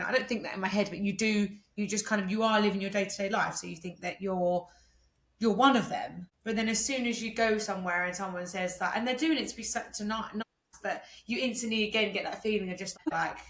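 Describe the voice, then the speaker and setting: A young woman from England co-hosting a podcast, talking in a relaxed, reflective way with many fillers. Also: mid-range voice. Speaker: podcast co-host. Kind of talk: casual chat.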